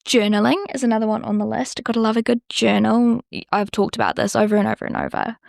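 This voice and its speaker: A woman's voice: a little high, steady tone, fast talker, creaky, darkish tone, no echo, studio quality. A young woman with a New Zealand accent, hosting a podcast in a chatty, rambling way full of "like". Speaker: podcast host. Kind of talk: casual solo podcast chat.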